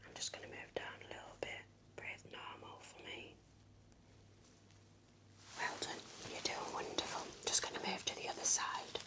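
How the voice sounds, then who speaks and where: Softly whispering woman, ASMR nurse roleplay. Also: moderate pace, long pauses. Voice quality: breathy, mostly whispered. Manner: gentle, reassuring bedside manner. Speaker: ASMR performer playing a home-visit nurse. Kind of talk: soft-spoken ASMR medical roleplay.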